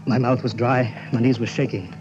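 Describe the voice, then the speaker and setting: An actor playing an anxious, hesitant, henpecked husband in an old-time radio horror play. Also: male voice, medium pitch, fairly even intonation, normal speed, natural voice. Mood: nervous. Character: nervous, weak-willed, henpecked nephew and husband. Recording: muffled, hissy old radio recording.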